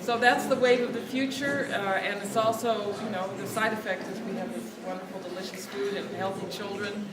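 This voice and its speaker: Woman, quiet voice, no microphone, distant and echoey, loud ambient noise behind. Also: mid-range voice, steady tone, brisk, hardly any pauses. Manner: earnest, speaking out over a crowd. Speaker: speaker at a lobby day. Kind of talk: outdoor speech without a mic. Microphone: no mic, picked up from a distance.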